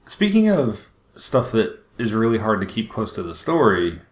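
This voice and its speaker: A man's voice: on the low side, big pitch swings, normal speed. A man hosting a podcast, chatting casually about films. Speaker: podcast host. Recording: roomy, echoing sound.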